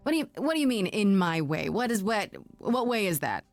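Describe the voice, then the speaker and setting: An actress playing a young heroine in a video game, blunt and probing, talking in a direct, conversational way. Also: female voice, mid-range voice, sing-song, a little fast, dry recording, soft background music. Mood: accusing. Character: young woman Grace, blunt, probing, guarded newcomer heroine. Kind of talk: video game dialogue acting.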